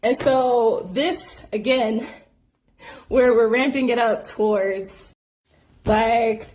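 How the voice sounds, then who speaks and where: A woman's voice: a little high, very slow, slight background noise, poor audio. A woman hosting her own show, casually telling a personal story in slangy speech full of "like". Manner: slangy, frequent "like" fillers, animated. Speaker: host.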